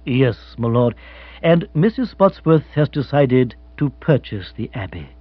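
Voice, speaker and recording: Guy doing a proper butler type, calm n grave, very polite, audiobook, dry slightly muffled recording. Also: mid-range voice, dry recording. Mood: composed, deferential. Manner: measured, formal, deferential. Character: unflappable, deferential English valet, formal and grave.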